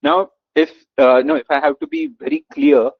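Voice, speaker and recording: A man's voice: fairly high pitch, normal speed, gravelly, no echo. A man from Gujarat with an Indian English accent, a webinar instructor who coaxes answers and heaps on praise. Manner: energetic, coaxing, lots of praise and repetition. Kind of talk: live online coding lesson. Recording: dull, muffled dry webinar audio.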